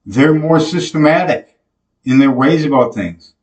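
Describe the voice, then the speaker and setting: A young man delivers a slow, meandering monologue straight to camera, trailing off and restarting with plenty of fillers. He sits a few feet from the microphone in a small, bare, echoey room, so his voice sounds distant and roomy. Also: a little high, expressive, a little mellow. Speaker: podcast host. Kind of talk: rambling devotional monologue. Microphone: camera mic from a few feet away.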